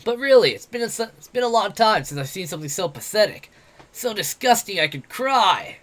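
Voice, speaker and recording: A man voicing a brash, mocking, drunk male wolf-man adventurer, loud and jeering. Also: high voice, very animated, normal speed, voice pushed up. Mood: sneering.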